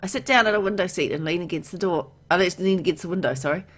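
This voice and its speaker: A woman with a low voice narrates a story game aloud in a let's play style. She reads the text as a story and drops in casual remarks of her own as the player. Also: fast, a bit bright. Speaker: gamer reading a visual novel aloud.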